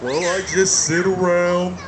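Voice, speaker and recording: A man's voice: high-pitched, steady tone, slow. A man voicing a laid-back, contented turtle in a children's puppet show. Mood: easygoing. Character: slow, easygoing male turtle, content and unhurried. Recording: noisy outdoor amplified puppet show.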